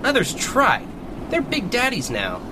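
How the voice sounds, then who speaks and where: A man's voice: extremely high pitch, huge pitch swings, measured pace, noisy background, exaggerated voice. A man playing a smug, gloating armed henchman in an audio drama, taunting with rhetorical questions.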